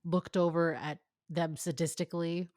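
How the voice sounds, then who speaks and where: A woman's voice: on the low side, little pitch movement, moderate pace, a little mellow, no room sound, studio quality. A woman co-hosting a casual fan fiction read-aloud, joking and breaking off into chatty, informal commentary. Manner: informal, joking, goes off on tangents.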